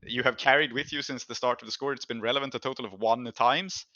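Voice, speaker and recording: A man running a tabletop role-playing game, improvising scene descriptions and rules calls in a halting, thinking-aloud way. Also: medium pitch, fairly even intonation, pushed and bright, no room sound, lo-fi sound.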